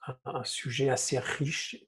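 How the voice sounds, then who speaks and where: A man's voice: medium pitch, fairly even intonation, a little slow, fairly bright tone, husky. A man talking casually and reflectively as a guest writer on a panel, hesitant and repeating words as he searches for them. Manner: hesitant, groping for words with repetitions.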